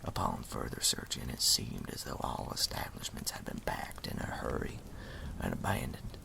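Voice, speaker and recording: A man playing a wry animal storyteller in a campfire ASMR roleplay, speaking slowly and ominously in a gravelly half-whisper. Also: a bass voice, big pitch swings, background noise, full character voice. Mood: ominous storytelling. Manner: slow, deliberate, folksy, ominous.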